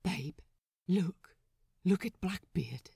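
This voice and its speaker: A woman's voice: lowish voice, lively intonation, very slow, creaky, warm tone, roomy sound, heavily acted. A woman voicing a scheming young pirate crewman in a fan fiction audiobook, urgent, emphatic and sardonic. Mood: hushed insistence.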